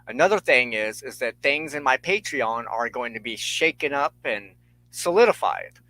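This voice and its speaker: A man hosting a solo spirituality show, lecturing on folklore in an earnest, measured way, partly reading from notes. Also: medium pitch, lively intonation, pushed and bright, no echo, poor audio. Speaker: host of a spirituality talk show.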